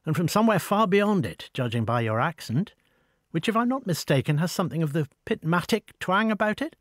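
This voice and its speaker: A man voicing a tall, proud older woman with an upper-class English accent, brisk and wry in an audiobook. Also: on the high side, very animated, dry recording, clean studio sound, voice pushed up. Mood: brisk teasing. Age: over forty. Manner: brisk, commanding, wry and talkative. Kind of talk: audiobook dialogue.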